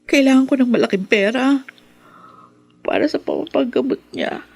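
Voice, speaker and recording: Mahiyaing dalagang problemado, medyo paos. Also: female voice, high-pitched, lively intonation, moderate pace, vocal fry, a little mellow, husky, no echo, heavily acted. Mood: humble, worried. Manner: earnest, hesitant. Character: humble, troubled young woman desperate for work. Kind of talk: radio drama acting.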